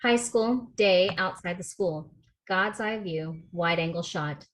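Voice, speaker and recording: A woman reading a script's stage directions aloud in a steady, matter-of-fact way for a table read over a video call. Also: fairly low pitch, slow, slight background noise, low-quality recording.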